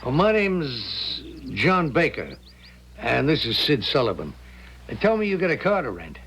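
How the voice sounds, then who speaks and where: Radio-drama crook: American, gravelly and husky, bossy, evasive, with swooping delivery. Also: male voice, medium pitch, very animated, unhurried, a bit bright. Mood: smooth, evasive. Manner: curt, evasive, tough-talking. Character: shifty, bossy small-time crook posing as a renter. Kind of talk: 1970s radio drama acting.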